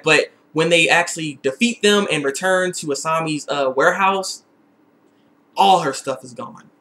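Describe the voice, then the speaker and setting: High-pitched, bright-voiced young man. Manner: excitable, animated, repeats phrases for emphasis. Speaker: TV episode reviewer. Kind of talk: casual video recap and review.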